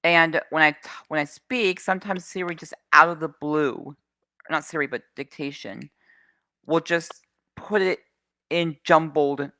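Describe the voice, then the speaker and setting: A man's voice: a little high, fairly even intonation, a little slow, sharp tone, dry recording. A man chatting as a guest on a livestream, hesitant and full of fillers and false starts. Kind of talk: casual chat.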